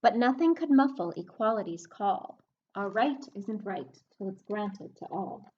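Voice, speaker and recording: A woman librarian reading a picture book aloud to children, expressive and explanatory, pausing to speak to her young listeners. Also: medium pitch, muffled recording. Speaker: children's librarian hosting story time.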